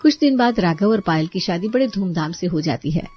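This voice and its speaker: A woman's voice: lowish voice, lively intonation, a little fast, breathy edge, dull audio. A woman reading scripted storybook narration as the narrator.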